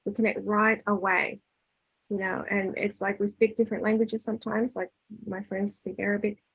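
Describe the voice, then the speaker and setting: A woman's voice: medium pitch, little pitch movement, moderate pace, gravelly, fairly bright tone, slightly rough, no room sound, poor audio. Woman giving a casual, dry-sounding inspirational talk. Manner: conversational, acts out dialogue, often checks in with listeners. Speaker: speaker leading a spiritual talk.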